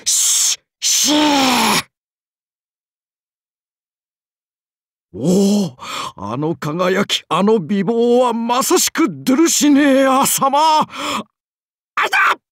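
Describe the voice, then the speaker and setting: A man playing an eccentric, courtly old knight in a game, creaky and husky, with a pompous, reverent, theatrical manner. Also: very high-pitched, expressive, takes it slowly, a bit bright, no room sound, studio quality, heavily acted. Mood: awed, flustered. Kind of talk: game character acting.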